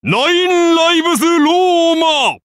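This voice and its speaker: A man's voice: extremely high pitch, lively intonation, unhurried, fairly bright tone, dry recording, clean studio sound, full character voice. A man voicing a godlike founder-king and lancer of Rome in an anime game, grand, solemn and declamatory. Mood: battle fury. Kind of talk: anime game battle voice lines.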